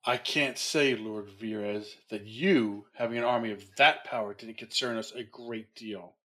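A disapproving male Jedi council master in an audio drama. His voice is hard-edged and rough, a little low, and he speaks with formal distrust. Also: unhurried, pushed and bright. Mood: suspicious.

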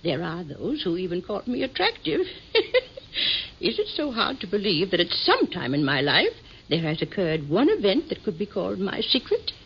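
An actress playing a chatty, vain, hard-of-hearing old schoolteacher with a fluttery, tittering, genteel manner in a 1950s radio drama. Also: female voice, mid-range voice, huge pitch swings, normal speed, breathy edge, heavily acted. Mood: coyly indignant. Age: 60s. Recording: dull old radio recording.

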